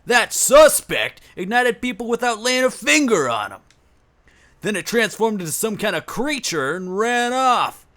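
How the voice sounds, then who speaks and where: Skeptical cop for a game dub, played by a man: very high for a male, animated, blunt. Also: a little slow, full character voice. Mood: blunt skepticism. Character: blunt, skeptical male police detective partner. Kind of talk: fan dub voice acting of a video game scene.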